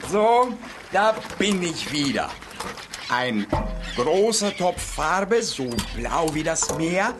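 A woman's voice: sits low, sing-song, takes it slowly, full character voice. A woman voicing a cheeky, playful young creature in a children's audiobook, talking in a sing-song, giggly way. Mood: cheerful. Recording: children's audio drama with music behind.

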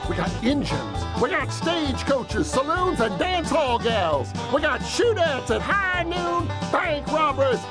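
A woman playing a brash, folksy cowboy pitching a Wild West park in an over-the-top hollering commercial style, over music. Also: very animated. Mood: rowdy selling. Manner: hollering, drawn-out showy cowboy delivery. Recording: loud music behind.

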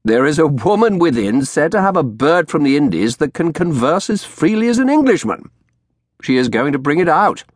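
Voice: mid-range voice, very animated, normal speed, husky, dry recording, studio quality, pitched above the performer's own voice. A man voicing a sneering, arrogant lawyer in a historical audiobook, with a mocking, theatrical manner. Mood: amused.

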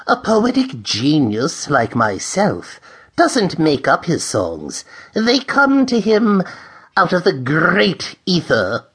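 A man's voice: a little high, huge pitch swings, slow pace, fairly bright tone, breathy edge, pitched above the performer's own voice, exaggerated voice. A man voicing a little jovial Venusian spaceman who boasts grandly of his poetry in a pulp audiobook. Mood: playfully pompous. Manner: grandiose, teasing.

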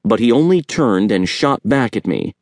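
A man voicing a gravely wounded young swimmer earnestly recounting an attack in an audiobook. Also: fairly low pitch, sing-song, moderate pace, darkish tone, no room sound, clean studio sound. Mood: dazed, horrified.

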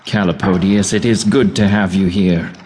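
Guy acting gruffly fatherly army commander, plain-spoken, deeper voice, audio play, slight noise. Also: fairly even intonation, a little mellow, lightly acted. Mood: welcoming. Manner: brisk, plain-spoken, paternal. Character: bluff, encouraging, commanding army general. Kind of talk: full-cast audio drama acting.

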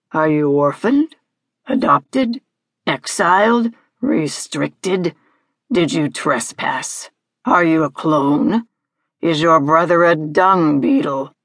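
Storybook villain: horrid, pompous hag played by a woman, pitched well down, theatrical and demanding. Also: a bit bright, no room sound, studio quality, heavily acted. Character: imperious, horrid invisible hag demanding answers, female.

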